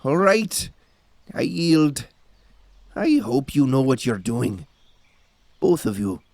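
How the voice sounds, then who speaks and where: A man's voice: mid-range voice, big pitch swings, slow pace, gravelly, darkish tone, no echo, exaggerated voice. A man playing a formal, courteous but condescending gatekeeper in a fantasy audio drama, with mannered speech. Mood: reluctant.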